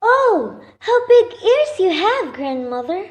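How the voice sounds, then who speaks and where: Woman playing very high-pitched innocent little girl. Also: very animated, takes it slowly, heavily acted. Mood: wondering. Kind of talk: children's radio drama acting.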